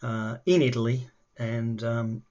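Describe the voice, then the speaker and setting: A man's voice: medium pitch, flat delivery, slow, darkish tone. An Australian man, middle-aged, introducing himself casually and talking with lots of ums and restarts. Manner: hesitant, many ums and restarts. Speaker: language learner. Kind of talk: casual self-introduction video.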